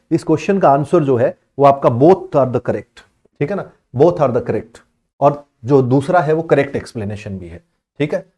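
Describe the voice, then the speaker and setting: Man teaching with a mellow voice. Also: medium pitch. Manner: reads English question text slowly, explains in Hindi with frequent "theek hai na". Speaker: exam coaching teacher. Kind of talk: explaining exam questions.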